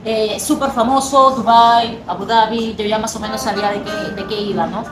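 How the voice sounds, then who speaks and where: A young Peruvian woman with a Peruvian Spanish accent, chatting quickly and animatedly as an interview guest. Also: a little high, fairly even intonation, reverberant, music in the background, poor audio. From Peru. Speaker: guest, personal trainer. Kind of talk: casual interview.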